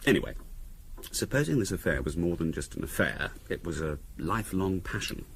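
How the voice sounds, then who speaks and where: Low-voiced, teasing English husband. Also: male voice, lively intonation, creaky. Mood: teasing, provocative. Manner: wry, conversational, with deliberate pauses and hesitations. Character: teasing middle-class husband, dryly morbid, provocatively theorising. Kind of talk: 1970s radio drama acting. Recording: faint hiss on 1970s radio recording.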